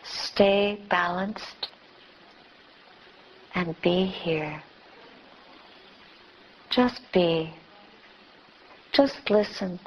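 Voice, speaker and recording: Slow-speaking woman guiding meditation. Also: lowish voice. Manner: gentle instruction in short, spaced phrases. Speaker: meditation teacher. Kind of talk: guided meditation. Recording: dull, lo-fi recording.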